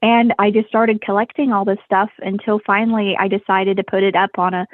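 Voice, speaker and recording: A woman's voice: medium pitch, flat delivery, brisk, dry recording. A woman from Texas, raised in Germany, answering radio interview questions in a friendly, hesitant way. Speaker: guest historian. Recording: tinny phone line.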